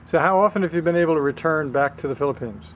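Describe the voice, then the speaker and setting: A man interviewing a student, asking measured, polite questions outdoors. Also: on the high side, slight background noise.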